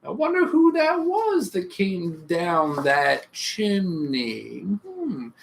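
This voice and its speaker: Very animated, playful bedtime story host. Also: mid-range voice. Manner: playful, expressive. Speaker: host reading bedtime stories. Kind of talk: animated children's storybook reading with casual asides.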